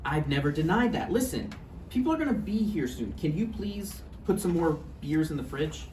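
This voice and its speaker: A woman's voice: low voice, animated, moderate pace, warm tone. A woman playing an earnest, apologetic live-in partner in a table read of a stage play, speaking in a hesitant, placating way. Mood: defensive. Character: earnest, conciliatory, apologetic live-in romantic partner named Roger. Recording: distant mic, roomy echo, noisy room.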